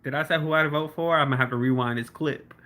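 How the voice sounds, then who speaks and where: A man's voice: a little high, fairly even intonation, fast talker, bright, forceful tone. Laid-back young man chatting on a livestream. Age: 20s. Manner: laid-back, laughs often, talks to chat by name. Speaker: livestream host. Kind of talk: casual livestream chat reading comments.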